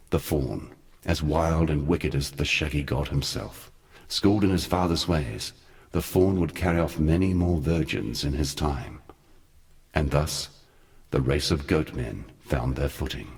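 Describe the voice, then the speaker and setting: A man playing an ancient, sardonic god in a video game, telling dark lore in a measured, theatrical and eloquent way with a weighty voice. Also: vocal fry, full character voice. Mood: wry, brooding. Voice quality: very deep, weighty voice. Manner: measured, theatrical, wry storytelling. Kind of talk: scripted video game character monologue.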